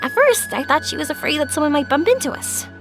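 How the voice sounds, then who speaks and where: A bubbly young woman from an anime-style game. Her voice is high and lively, swinging up and down as she chats in a kind, encouraging, upbeat way. Clear music plays behind her. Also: fast talker. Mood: warm, reflective. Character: cheerful young woman, talkative, kind, endlessly positive fireworks maker.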